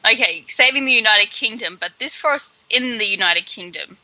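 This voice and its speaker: A woman's voice: fairly high pitch, animated, moderate pace, pushed and bright, rough recording. A woman co-hosting a podcast, casually recapping a play in a slangy, sweary, opinionated way. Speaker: podcast co-host.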